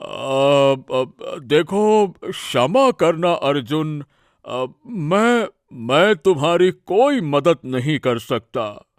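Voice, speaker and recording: An actor voicing a reluctant older village uncle in a cartoon story, halting and drawn-out, apologetic but firm. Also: male voice, a little high, sing-song, no room sound, studio quality, heavily acted. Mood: apologetic refusal. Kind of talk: Hindi cartoon moral story acting.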